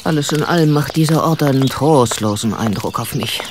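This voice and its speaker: Very low, sardonic female sidekick. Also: fairly quick, noisy background. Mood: puzzled, skeptical. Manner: dry, sarcastic, doubting. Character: skeptical, sardonic female companion of an amateur sleuth. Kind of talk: German radio play acting.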